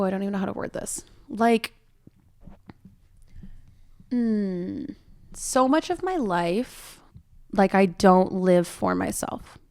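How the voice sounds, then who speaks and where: A young Canadian woman co-hosting a podcast in casual, chatty, self-deprecating talk with a creaky voice. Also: mid-range voice, darkish tone. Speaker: podcast co-host.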